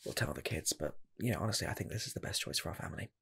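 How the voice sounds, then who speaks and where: A man playing an earnest rocket scientist applying for a fast food job, in a soft, half-whispered ASMR roleplay voice that rambles and restarts. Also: sits low, vocal fry, husky, exaggerated voice. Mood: delighted. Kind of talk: ASMR personal attention roleplay.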